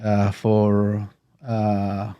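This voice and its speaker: Man, 50s, Mexican accent, native Spanish speaker, halting, warm dark voice, interview guest. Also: lowish voice, completely flat delivery, clean tone, dull audio. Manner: halting, searching for words in English. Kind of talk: casual interview talk.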